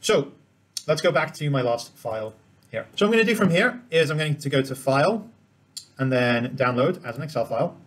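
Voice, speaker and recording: A man's voice: on the high side, animated, a little fast. A man presenting an informal screen-share tutorial, explaining each step as he demonstrates it. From Cambodia.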